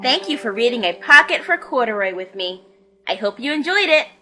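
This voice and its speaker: A woman narrates a children's storybook. Her voice is bright and very animated, sitting a little high, with music playing along. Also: brisk. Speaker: storybook reader. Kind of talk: expressive children's read-aloud narration.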